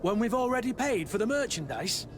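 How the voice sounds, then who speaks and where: An actor playing a blunt, sardonic guard captain in a video game, with a grumbling, exasperated manner. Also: male voice, sits high, sing-song, fairly quick. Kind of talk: scripted video game dialogue. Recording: music behind the voice.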